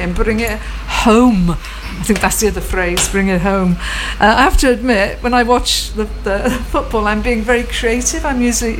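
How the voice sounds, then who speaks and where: A woman hosting a local radio show, chatting in a rambling, enthusiastic way. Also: mid-range voice. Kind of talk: casual chat sign-off. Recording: noisy room ambience.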